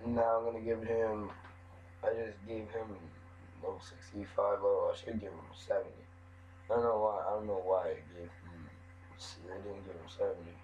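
A young man with a cold and a hoarse voice, rambling through casual commentary while modding a game, often restarting his sentences. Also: on the low side, steady tone, normal speed, darkish tone. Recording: dull lo-fi mic with faint noise.

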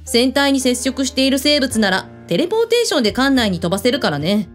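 A woman's voice: sits high, very animated, fast talker, faint music behind. An actress in a radio drama playing a breezy, blunt female hotel owner who speaks with authority. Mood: explanatory, confident.

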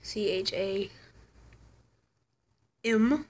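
A teenage girl playing a game with a friend, argumentative and often muttering low. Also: drawn-out words. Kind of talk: casual chat while gaming.

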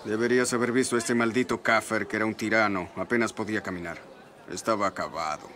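A gossipy bazaar merchant. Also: male voice, on the low side, steady tone, quick pace, bright, forceful tone, slight background noise. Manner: gossipy, boastful. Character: chatty male bazaar merchant, gossipy and boastful. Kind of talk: Spanish dub of a historical series.

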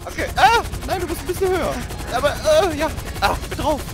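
A man in casual, exclamatory gaming chat with friends, excitable as he talks. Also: very high voice, brisk. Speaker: gamer playing with friends on voice chat. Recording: game voice chat under loud music. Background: music and game vehicle sounds.

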